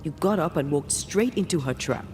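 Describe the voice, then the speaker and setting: An actress playing the resentful ghost of a woman ghost hunter in a video game, speaking in a measured, grave, direct way. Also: low voice, expressive, over music. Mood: angry, reproachful. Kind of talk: dramatic video game acting.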